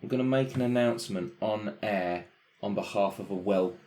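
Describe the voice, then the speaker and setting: A man takes part in a tabletop roleplaying game as a player. His voice is fairly low, and his delivery is casual and conversational. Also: normal speed, a little mellow, slight background noise. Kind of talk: casual chat.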